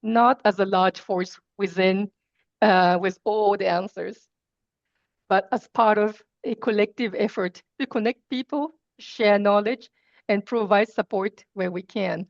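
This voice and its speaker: A woman representing an international organization, delivering formal opening remarks in a measured way over a video call. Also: mid-range voice, fairly even intonation, gravelly, dry recording. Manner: measured, formal, occasional filler. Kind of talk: read formal speech. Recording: dull, dry video call audio.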